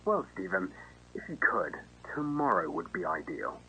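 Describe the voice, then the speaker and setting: Easygoing male restaurant manager played by a man, bright, lively delivery, reassuring, for an acted phone interview. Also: low voice, normal speed, slightly rough, exaggerated voice, low-quality recording. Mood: upbeat, reassuring. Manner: friendly, casual, reassuring. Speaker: voice actor in an analog horror video. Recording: faint noise and hum.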